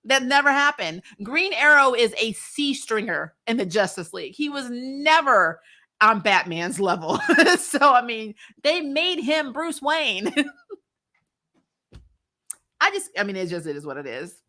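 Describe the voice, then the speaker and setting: A woman's voice: fairly high pitch, very animated, unhurried, pushed and bright. A woman hosting a recap show in casual chat, giving blunt, opinionated commentary with salty language and frequent laughter. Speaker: host of a comics and TV recap show. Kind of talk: casual chat, reviewing superhero shows and answering viewer comments.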